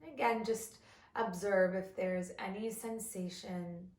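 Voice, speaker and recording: Slow-spoken woman guiding meditation, roomy echo. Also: mid-range voice, steady tone. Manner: gentle, instructional, measured with long pauses. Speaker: mindfulness meditation instructor. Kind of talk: guided body scan meditation.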